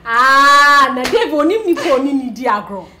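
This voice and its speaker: A wronged, vengeful Ghanaian woman in a film drama. Her voice sits high and she complains with exasperation. The sound has clear location noise. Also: expressive, moderate pace, sharp tone. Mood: angry, vengeful. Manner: exasperated, code-switching, complaining. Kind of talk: emotional film drama dialogue in Twi and English.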